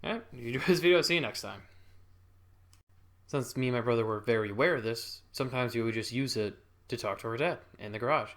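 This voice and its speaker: A man's voice: mid-range voice, a little fast. A young man casually telling a childhood story, rambling and interrupting himself with chuckles.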